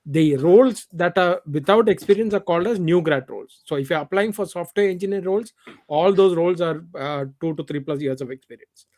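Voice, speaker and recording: A man's voice: high voice, fairly quick, darkish tone. A man giving career advice at a developers meetup, explaining things plainly and repeating his key points. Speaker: meetup organizer.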